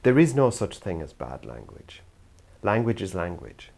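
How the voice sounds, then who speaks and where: A man's voice: low voice, sing-song, moderate pace, gravelly, a little mellow. An older Irish man from the theatre world gives an earnest, thoughtful talk to camera. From Ireland. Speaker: youth theatre practitioner.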